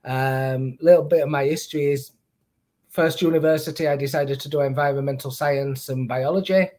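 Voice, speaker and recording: A man's voice: fairly high pitch, steady tone, normal speed, darkish tone. Chatty Northern English man. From Northern England. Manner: chatty, self-deprecating, laughs easily. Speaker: guest, brewer and distiller. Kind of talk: casual podcast chat.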